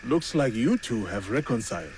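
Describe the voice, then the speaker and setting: An intense, plainspoken male warrior from a video game, played by an actor. His medium-pitched voice is direct and clipped in phrasing but moves widely in pitch, with slight background noise. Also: big pitch swings, normal speed, darkish tone, natural voice, low-quality recording. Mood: wry. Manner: terse, direct. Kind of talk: video game cutscene voice acting.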